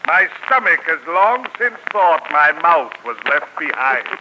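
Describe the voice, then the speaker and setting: An actor playing a big, jovial, food-loving outlaw in a 1940s radio drama, with hearty, old-fashioned talk. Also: male voice, sing-song, measured pace, loud music behind the voice. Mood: jolly. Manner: hearty, archaic talk, booming. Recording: noisy 1940s radio broadcast.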